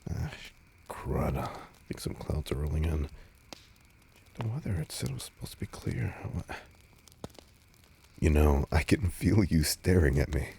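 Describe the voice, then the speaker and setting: Man playing a gentle hungry vampire, audio roleplay, gravelly fry, hesitant stammer, unhurried, intimate, faint background noise. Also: extremely low pitch, lively intonation, full character voice. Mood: playful, puzzled. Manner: hesitant, stammering, soft-spoken. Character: nervous, tender male vampire on a stargazing date, resisting hunger.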